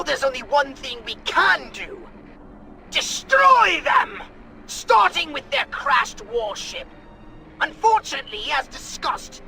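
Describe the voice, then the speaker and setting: An excitable, pompous alien schemer from a video game. The voice is extremely high and piercingly bright, with wild swoops. He speaks in verbose, theatrical bursts over faint background noise. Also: vocal fry, exaggerated voice, poor audio. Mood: fiery briefing. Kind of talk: animated video game acting.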